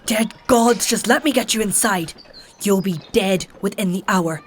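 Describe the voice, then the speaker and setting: An actress playing a practical, stubborn travelling healer in a fantasy audio drama, with a dry, matter-of-fact, conversational way of talking. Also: female voice, mid-range voice, unhurried, a little hiss or hum, close to an everyday voice. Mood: urgent. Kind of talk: dark fantasy audio drama dialogue and narration.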